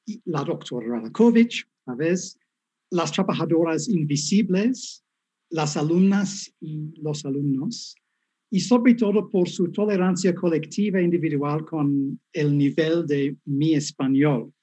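Brief: 50s man, English native speaking Spanish with street Mexican-Colombian touches, unhurried, mellow and slightly husky, video-call lecturer. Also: fairly high pitch. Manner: self-deprecating, chatty, frequent tag questions. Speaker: guest professor. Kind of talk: academic talk over video call.

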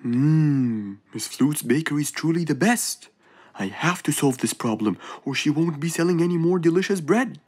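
A woman voicing a kind, eager young male fox inventor in an animated children's story, with a helpful, polite manner. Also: low-pitched, moderate pace. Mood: thoughtful.

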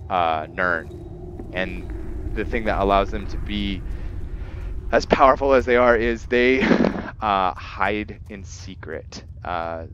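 A man giving casual, halting gameplay commentary with roleplay narration, thinking aloud as he goes. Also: fairly low pitch, fairly bright tone, smooth. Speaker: gameplay video host. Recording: dull lo-fi mic, noisy background.

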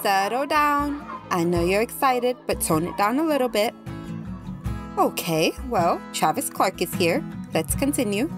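A woman plays a firm schoolteacher in an audio drama. She speaks rather slowly with a widely moving pitch, music playing behind her. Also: lively intonation. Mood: firm, orderly. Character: brisk female schoolteacher keeping a class in order.

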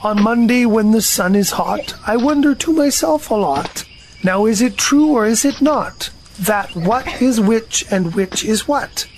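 A woman playing a naive, amiable, slow-witted male bear with an earnest, halting manner in a children's storybook audio drama. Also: a little high, darkish tone, a little hiss or hum, poor audio.

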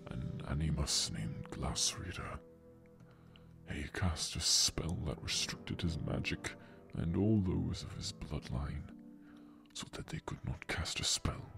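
A man playing a weary, resigned old male dragon who speaks in a heavy, halting, gravelly and breathy voice in an audio drama. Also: extremely low pitch, heavily acted.

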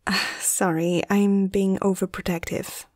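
A woman playing a caring young female veterinarian in a soft, hushed ASMR roleplay, speaking gently and a little hesitantly. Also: medium pitch, flat delivery, measured pace, no echo, studio quality, lightly acted. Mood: protective, shy.

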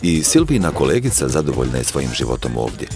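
A man narrating a travel documentary as a scripted voice-over, in a measured storytelling way. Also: rumbling low, very animated. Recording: voice-over with music behind.

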